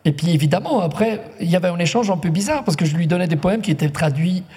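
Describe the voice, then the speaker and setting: A man's voice: sits high, expressive, mellow, long reverb tail. A man whose first language is Italian, moderating a literary talk in French, speaking thoughtfully with frequent restarts. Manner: thoughtful, halting, many restarts. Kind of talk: hesitant conversational interview.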